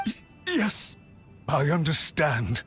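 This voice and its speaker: A rather high male voice of an intimidated servant in a video game. He is timid, hesitant and very deferential. Music plays underneath. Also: very animated, takes it slowly, a bit bright, exaggerated voice. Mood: intimidated, meek. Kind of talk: scripted video game voice acting.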